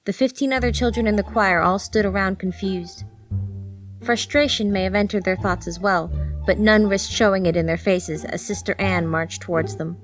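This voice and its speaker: A woman's voice: medium pitch, moderate pace, faint music behind, rough recording. A woman narrator reading a scripted story for an audiobook.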